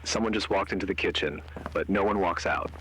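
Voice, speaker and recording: A man playing a grave narrator and interrogator in scripted horror, speaking in an ominous, measured way. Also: sits low, fairly bright tone, a little hiss or hum, rough recording. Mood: eerie, suspenseful.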